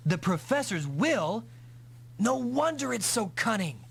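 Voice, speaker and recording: An actor playing an earnest male mech pilot hero with a husky voice in an anime-style game dub. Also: high voice, very animated, a little slow, heavily acted. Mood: questioning, shocked.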